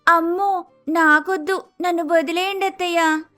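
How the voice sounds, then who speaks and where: A woman's voice: very high-pitched, fairly even intonation, normal speed, bright, forceful tone, soft background music. A woman voicing a polite, naive young daughter-in-law with an Andhra Telugu accent, exclaiming in a cartoon story. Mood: shocked. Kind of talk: acted dialogue in animated moral story.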